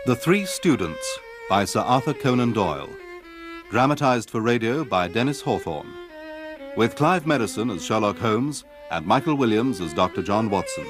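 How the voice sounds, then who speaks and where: Male announcer over violin music. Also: medium pitch. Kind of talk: scripted radio drama announcement.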